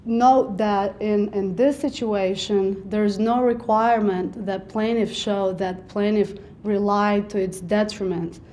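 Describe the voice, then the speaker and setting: Woman attorney, mid-pitched, steady explanatory seminar delivery, pauses often. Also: slight background noise. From Romania. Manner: explanatory, measured, with frequent "um" pauses. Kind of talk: seminar presentation. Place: seminar room.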